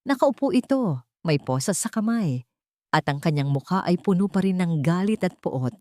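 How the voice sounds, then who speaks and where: A woman's voice: on the low side, lively intonation, moderate pace, dark tone, no room sound, studio quality. A woman reads a scripted dramatic story as its narrator.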